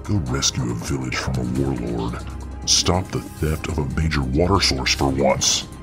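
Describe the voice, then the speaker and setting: A man playing a huge, dominant, contemptuous supervillain in an ASMR audio role-play, with a very deep voice and deliberate, taunting delivery. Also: vocal fry, music in the background. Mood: righteous, scornful. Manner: deliberate, taunting, theatrical monologuing. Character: huge muscle-bound male supervillain, dominant, contemptuous, righteous.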